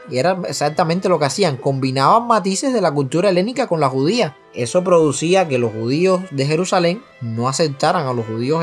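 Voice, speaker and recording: A man with a fairly high voice co-hosts a religious podcast and lays out doctrine earnestly. His delivery is steady and explanatory, and he quickens when he adds an aside. Soft music sits faintly under the talk. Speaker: podcast co-host.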